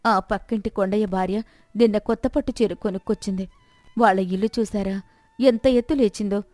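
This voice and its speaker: A woman's voice: medium pitch, fairly even intonation, a little fast, darkish tone, no echo, natural voice. A woman voicing a poor, discontented village wife who complains and insistently nags her husband in a folk tale. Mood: tearful complaint.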